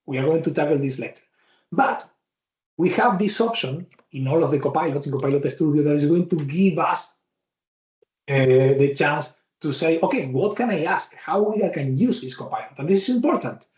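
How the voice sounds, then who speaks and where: A man with an Argentine Spanish accent walks through a software demo as a bootcamp instructor, his voice sitting a little high for a man. He thinks out loud and restarts words as he clicks along. The sound has a roomy echo around it. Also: expressive, moderate pace. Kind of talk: live software demo walkthrough.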